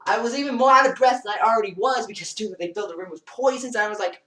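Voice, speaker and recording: A man's voice: high-pitched, rapid-fire, sharp tone. A teenage boy vlogger rambling through a comedic parody story and acting out scenes while he moves around a small basement room. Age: teens. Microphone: built-in webcam mic across the room. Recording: roomy and distant.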